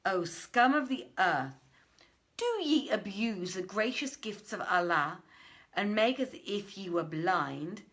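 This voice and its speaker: A woman's voice: mid-range voice, lively intonation, slow, a bit bright. A woman voicing a stern male governor, scornful and commanding, in a storybook reading. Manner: commanding, scolding.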